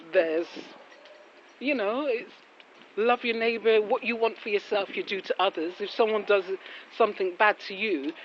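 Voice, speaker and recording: A woman in a casual walking vlog with devotional reflections, rambling and full of admiring exclamations. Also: medium pitch. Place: outdoors on a residential street after rain. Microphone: handheld phone while walking. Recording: muffled, noisy outdoor street recording with traffic.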